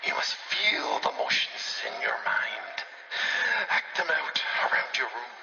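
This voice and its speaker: A giddy, obsessive animator in a horror game log, savoring each word slowly and intensely. His bright, breathy voice leaps into high swoops, heard through a roomy old tape. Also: very animated, distant mic, muffled recording, noisy background. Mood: fervent. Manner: theatrical, intense, slow savoring delivery. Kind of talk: audio log in a horror cartoon video game.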